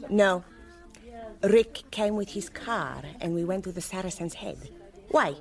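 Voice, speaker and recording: A woman's voice: medium pitch, a little slow, creaky. An actress playing a haughty, outspoken foreign woman teacher in a radio drama, dramatic and disdainful. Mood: sardonic.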